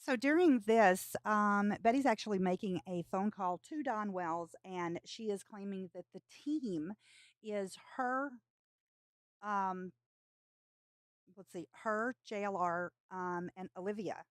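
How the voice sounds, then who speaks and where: A woman hosting a live stream in a casual commentary style. Her voice sits at a medium pitch, and the sound is dry and close, with little room. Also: takes it slowly, no echo.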